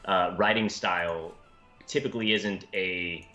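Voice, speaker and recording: A man with a deep voice hosting a live-streamed chat about running tabletop games, animated and quick to slip into acted voices. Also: soft background music. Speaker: game master and show host. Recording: roomy, dull lo-fi stream audio.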